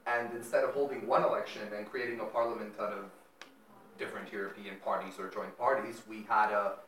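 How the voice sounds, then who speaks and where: A man's voice: fairly low pitch, steady tone, a little fast, fairly bright tone. A young man from Serbia, a student, earnestly laying out a long proposal as an audience question at a panel. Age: young adult. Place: lecture room. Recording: echoey room, distant mic, faint noise.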